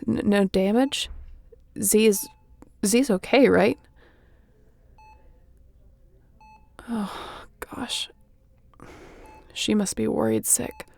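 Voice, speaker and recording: A woman playing a wounded starship captain in a sci-fi audio roleplay, wry and caring, speaking haltingly and softly with a breathy, gravelly voice. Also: medium pitch, no echo. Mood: incredulous, worried. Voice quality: gravelly, often breathy and whispery.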